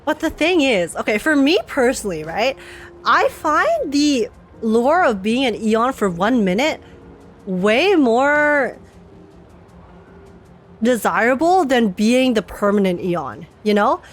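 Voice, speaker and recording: A woman's voice: on the high side, very animated, takes it slowly. A young woman streamer giving casual, chatty reaction commentary on a game, laughing often.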